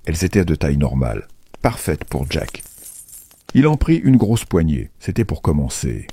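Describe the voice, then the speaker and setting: A man narrating a children's fairy tale from a script in an expressive, playful storytelling manner. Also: very deep voice, normal speed, breathy edge.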